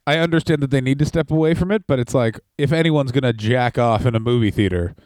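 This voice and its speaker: A man's voice: medium pitch, quick pace, darkish tone, no echo. Guy cracking wry jokes on a podcast, swears a lot, super dry close mic. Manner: wry, joking, swears freely. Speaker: podcast co-host. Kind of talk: casual wrestling podcast chat.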